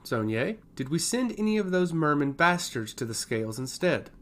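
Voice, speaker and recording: A man's voice: a little high, very animated, moderate pace, a bit bright. Man playing a blunt, burdened fantasy king. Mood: wry, frustrated. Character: proud, burdened island king, a father, blunt.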